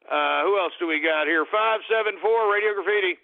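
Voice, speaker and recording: A male radio host taking calls on a call-in show, ranting and shouting exclamations. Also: high-pitched, a little fast, creaky. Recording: lo-fi narrow-band broadcast with faint music.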